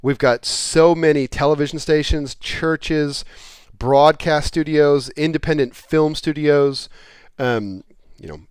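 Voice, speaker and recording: A man's voice: on the high side, takes it slowly, clear, resonant tone, no echo. A man presenting a product in a casual explainer style, talking plainly and repeating points in everyday terms.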